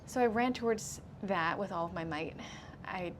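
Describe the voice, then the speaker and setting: A woman's voice: on the low side, background noise. Earnest woman sharing her testimony. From grew up in Singapore. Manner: earnest, measured, laughs easily. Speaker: guest.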